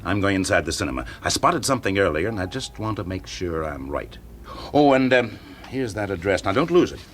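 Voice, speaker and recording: Radio drama lead: genial, probing English fire investigator, low register, animated delivery, quietly commanding. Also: male voice, fairly quick, a little hiss or hum. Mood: brisk, purposeful. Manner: polite, probing, confidently in charge. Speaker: actor playing the lead. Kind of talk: classic radio drama acting.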